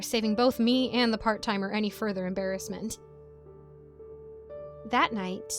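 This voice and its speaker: A woman reading a scripted story as its narrator, with an expressive storytelling delivery. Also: mid-range voice.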